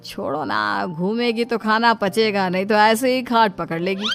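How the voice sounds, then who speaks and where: A woman's voice: medium pitch, unhurried, gravelly. A woman voicing a dutiful, reasonable son of thirty in a dramatized moral story. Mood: dismissive. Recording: music behind the voice.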